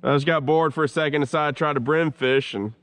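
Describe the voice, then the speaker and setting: A man's voice: a little high, fast. A man talking casually through his fishing trip from a kayak on a swampy creek. He records on a head-mounted action camera and swears freely as he goes. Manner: relaxed, chatty, swears freely. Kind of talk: casual narration while fishing.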